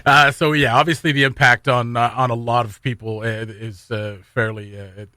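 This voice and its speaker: A man's voice: mid-range voice, flat delivery, moderate pace, pushed and bright, dry recording, clean studio sound. A man hosting a casual radio interview in an informal, agreeable way. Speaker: radio host. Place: radio studio.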